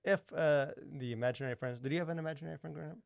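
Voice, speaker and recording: Male podcast co-host, quick pace, gravelly fry, rambling casual chat. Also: on the high side, no room sound. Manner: rambling, halting, filler-heavy explanations.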